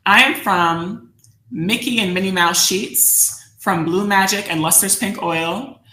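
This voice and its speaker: An American woman giving an instructional presentation in a deliberate, reflective manner, often posing questions to her listeners. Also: medium pitch, sharp tone. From United States, New Jersey suburbs. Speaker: workshop presenter, educator. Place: indoor room, virtual session. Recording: roomy echo on the voice.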